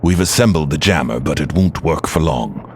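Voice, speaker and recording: A man playing a grave, commanding older leader, speaking in a formal, measured way. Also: rumbling low, lively intonation, a little mellow, a little hiss or hum. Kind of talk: fan audio drama acting.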